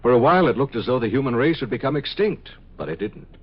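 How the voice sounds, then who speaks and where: An actor playing a calm, detached scientist of the far future in a 1950s radio drama, speaking in a measured, clinical way. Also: male voice, medium pitch, husky. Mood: explaining. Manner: measured, matter-of-fact, clinical. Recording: muffled 1950s radio recording.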